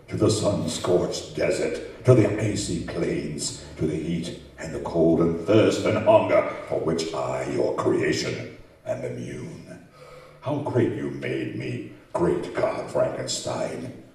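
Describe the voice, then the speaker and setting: A man's voice: extremely low pitch, creaky, warm tone, full character voice. A man playing a vengeful, taunting man-made monster in old-time radio horror style, with menacing, grandiose delivery. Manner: slow, menacing, grandiose declaiming. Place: community center stage, live performance. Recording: roomy live hall, distant mic, faint noise.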